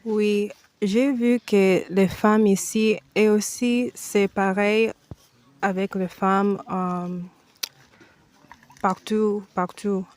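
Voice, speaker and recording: A woman from the United States, answering a TV interviewer's questions slowly and with many hesitations. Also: medium pitch, fairly even intonation, a little mellow. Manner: slow, halting, with frequent hesitations and restarts. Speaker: foundation president, guest.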